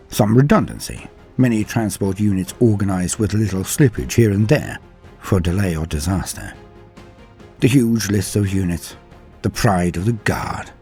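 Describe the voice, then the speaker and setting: Male narrator for a military saga: low, mellow voice, grave measured delivery, faint music bed. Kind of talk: dramatic scripted narration.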